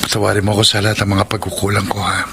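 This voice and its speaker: Radio drama: actor as contrite young dad, lowish voice, hesitant, clearly noisy background. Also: male voice, level tone, rapid-fire, close to an everyday voice. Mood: remorseful. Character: apologetic, earnest young husband and father. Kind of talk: Filipino radio drama acting.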